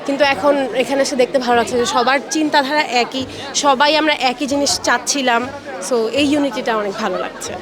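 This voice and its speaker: A woman's voice: sits high, fast, a crowd in the background. A woman with a Bangladeshi accent giving a vox pop interview as a visitor, mixing in English phrases. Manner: mixes English phrases into speech. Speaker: exhibition visitor. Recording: noisy crowded public space.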